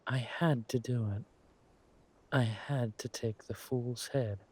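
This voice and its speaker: Man playing a very slow, suave villain king. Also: medium pitch, fairly even intonation, darkish tone, breathy edge. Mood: coaxing, grave. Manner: slow, halting, purring hums and teasing drawl. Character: suave, ruthless villain king, affectionate with his wife. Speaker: roleplay audio performer. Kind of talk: villain roleplay audio drama, intimate monologue to a partner.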